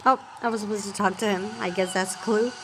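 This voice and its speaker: A woman voicing a calm, observant young traveler in a video game, with a plain, understated delivery. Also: mid-range voice, fast talker, background noise, close to an everyday voice. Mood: matter-of-fact. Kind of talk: scripted video game dialogue. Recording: loud game music behind the voice.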